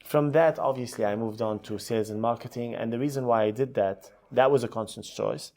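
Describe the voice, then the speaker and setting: Male, middle-aged, mid-pitched voice, conversational podcast guest. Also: a little fast, a little mellow. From Lebanon. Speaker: podcast guest, hotel executive. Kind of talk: conversational interview answers, career storytelling.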